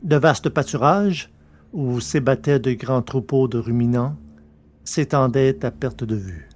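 Measured male narrator of a serial. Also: mid-range voice, a little mellow, a little hiss or hum. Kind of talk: scripted narration of a science-fiction serial.

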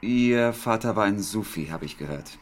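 An actor playing a reserved British wartime intelligence officer, terse and quietly probing, in a radio drama. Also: male voice, fairly low pitch, animated, moderate pace, a little hiss or hum, close to an everyday voice. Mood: businesslike, attentive. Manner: terse, attentive listener. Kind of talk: German radio drama dialogue.

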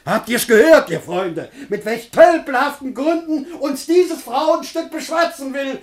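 Wealth-hungry Athenian householder, radio play. Mocking, quarrelsome, theatrical bluster. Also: male voice, very high voice, animated, a little slow. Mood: blustering, scornful. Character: blustering, mocking Athenian master greedy for riches. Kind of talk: German radio play of classical comedy.

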